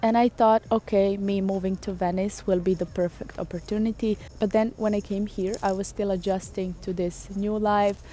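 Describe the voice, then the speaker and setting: A woman's voice: mid-range voice, flat delivery, normal speed, hardly any pauses, dark tone, slight background noise. A young woman from Serbia, a native Serbian speaker, talks casually to the camera in English with a Serbian accent. She records outdoors on a camera mic and chats on with plenty of fillers. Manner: chatty, thinks aloud with fillers. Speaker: vlogger. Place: outdoors in a city.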